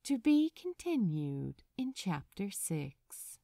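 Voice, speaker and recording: A woman reading fiction aloud as a narrator, in a dramatic, expressive audiobook style. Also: medium pitch, slow pace, creaky, noisy background.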